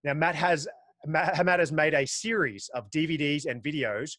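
A man's voice: a little high, moderate pace, a bit bright, no echo. A man in his thirties hosting a podcast interview, asking questions in an upbeat way. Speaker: podcast host. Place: home studio. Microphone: studio mic with pop filter. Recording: dry close studio mic.

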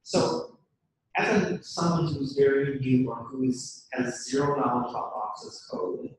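A man's voice: mid-range voice, unhurried. A man in the audience asking a rambling, effusive question at a meetup. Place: meetup room, off-mic. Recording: distant echoey room mic with faint noise.

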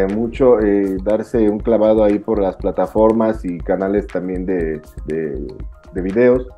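A man's voice: lowish voice, dark tone. A man in his forties hosting an interview over a video call, asking long, effusive questions padded with fillers. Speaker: interviewer, host.

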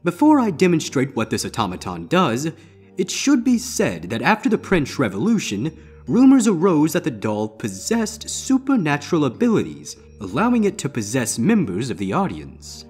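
A man voicing a theatrical, ominous pony stage magician with deliberate showman's patter in a storybook reading. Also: fairly high pitch, huge pitch swings, a little mellow, breathy edge, faint music behind, pitched above the performer's own voice, heavily acted. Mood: measured, knowing. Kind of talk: character voice in audiobook-style fan fiction reading.

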